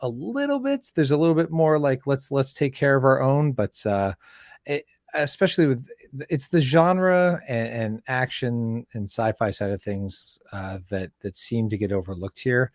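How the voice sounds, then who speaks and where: A Canadian man chatting casually as an interviewer, wordy and halting, often breaking off and restarting his sentences. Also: medium pitch, lively intonation, no room sound, clean studio sound. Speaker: interviewer and filmmaker. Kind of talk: casual podcast interview chat.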